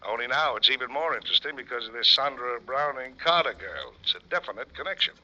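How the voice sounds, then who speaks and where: Blustery, bright-voiced police lieutenant. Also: male voice, medium pitch, lively intonation, quick pace. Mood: smug, needling. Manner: folksy, grumbling, sarcastic. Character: blustery, easily exasperated male police lieutenant, sarcastic sidekick. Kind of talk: old-time radio comic-detective acting. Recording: dull, muffled old radio recording.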